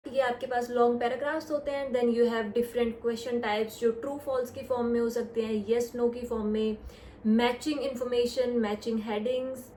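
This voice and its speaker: A woman's voice: fairly high pitch, warm tone. A young woman with an Indian English accent walking viewers through an exam in a casual step-by-step tutorial. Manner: explains step by step, slows down on English phrases. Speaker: test-prep YouTuber. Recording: echoey room with faint noise.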